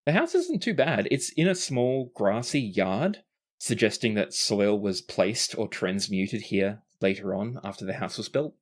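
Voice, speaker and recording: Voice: mid-range voice, sing-song, a little slow. A game master and host narrating a tabletop roleplaying podcast in a descriptive way, sometimes self-correcting.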